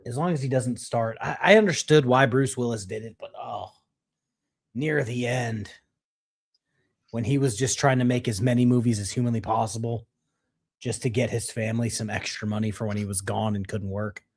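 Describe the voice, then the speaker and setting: A man's voice: medium pitch, moderate pace. A man, co-hosting a casual movie chat with a chatty, self-deprecating manner. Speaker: co-host.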